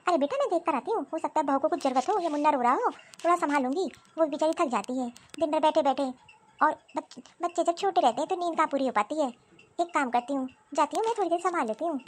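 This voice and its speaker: High-pitched older mother-in-law acted by a woman, fond, caring, gently chiding, cartoon family drama. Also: quick pace, lightly acted. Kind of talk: animated Hindi family drama dialogue.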